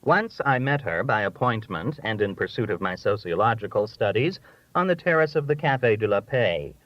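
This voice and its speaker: A man's voice: on the low side, expressive, moderate pace, dry recording. Man reading literary humor, dull dry recording. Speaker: audiobook reader. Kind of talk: literary narration of a humorous essay.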